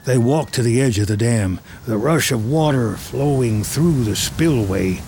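A man's voice: mid-range voice, warm tone, a little hiss or hum. Male storyteller with faint background ambience. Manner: measured, descriptive storytelling. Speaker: narrator. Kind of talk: scripted film narration, present tense.

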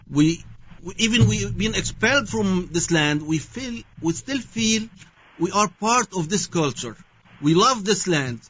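A man from Syria with a Syrian Arabic accent, answering interview questions haltingly and searching for his words with many fillers. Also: sits high, fairly bright tone. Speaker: guest. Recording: dull lo-fi sound.

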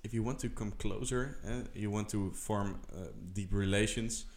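A man from the Netherlands, speaking English in casual chat, halting, with many filler sounds. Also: fairly low pitch, fairly even intonation. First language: Dutch. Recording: echoey room.

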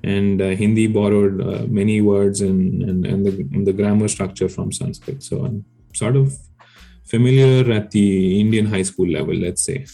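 A man's voice: lowish voice, fairly even intonation, normal speed, vocal fry, warm tone, faint music behind. A man whose first language is Telugu, a guest researcher explaining his work in casual podcast chat, hesitant with many fillers and restarts.

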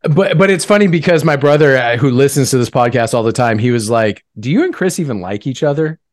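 A man co-hosting a podcast, bantering casually in an animated, teasing way. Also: medium pitch, fairly quick, no room sound, clean studio sound. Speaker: podcast co-host.

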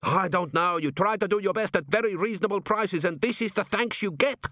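A man putting on an indignant dwarf, pitched way up. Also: expressive, moderate pace, fairly bright tone, no echo, clean studio sound. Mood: aggrieved. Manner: defensive, protesting. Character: indignant, blustering male dwarf restaurant owner. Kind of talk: audiobook character voice.

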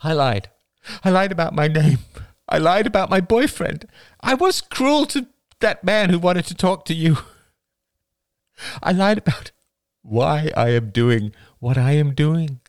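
A man's voice: a little high, sing-song, measured pace, voice pushed up. A man voicing a frightened, flippant young woman in an audiobook, breathless, shaky and blurting out words. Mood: desperate confessing. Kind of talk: audiobook character dialogue.

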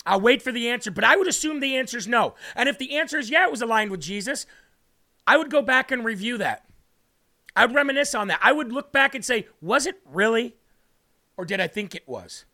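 A man with a raspy voice hosting a faith livestream, preaching and reading viewer comments aloud, often repeating phrases for emphasis. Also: very high-pitched, expressive, a little fast, bright, forceful tone. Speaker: host of a faith livestream show.

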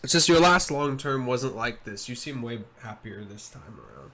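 A man's voice: mid-range voice, expressive, moderate pace, pushed and bright. A man in casual chat on a video call who teases his friend and mostly answers in short interjections. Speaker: podcast co-host.

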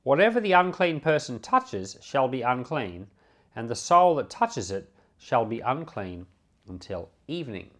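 A man with a medium-pitched voice delivers a Bible podcast as a pastor. His intonation moves widely up and down. He phrases things in a measured, emphatic way that suits reading and teaching scripture. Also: fairly bright tone. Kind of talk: Bible reading with devotional commentary.

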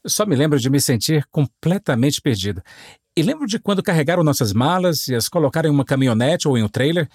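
Higher-pitched man, dry close sound. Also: expressive, fast talker, a little mellow, dry recording. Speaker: missionary guest. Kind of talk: personal storytelling.

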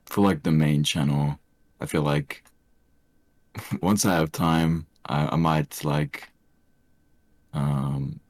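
A young man with a soft, soothing voice, chatting hesitantly as a podcast guest with many fillers. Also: extremely low pitch, no echo. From Australia. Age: young adult. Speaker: streamer guest. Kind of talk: casual podcast chat.

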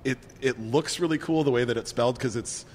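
A man's voice: medium pitch, fairly quick, darkish tone, big-room sound, a little hiss or hum. A man in casual podcast chat who rambles, restarts and laughs often. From Minneapolis area.

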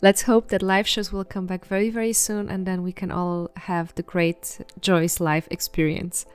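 Smooth-voiced woman presenting a music podcast. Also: fairly low pitch, flat delivery, moderate pace. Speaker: podcast host. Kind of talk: scripted segment links.

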